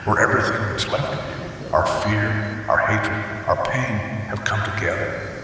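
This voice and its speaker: A man voicing a vengeful ghost spirit, bright-edged. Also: on the low side, measured pace. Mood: accusing. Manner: accusing, menacing address. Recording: distant, echoey hall with faint hiss.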